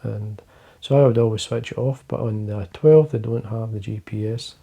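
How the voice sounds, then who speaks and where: A man with a Scottish accent, talking quietly and casually to camera from his fly-tying desk, rambling with fillers and restarts. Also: fairly low pitch, steady tone, moderate pace, creaky, breathy edge. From Scotland. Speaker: fly-fishing video host.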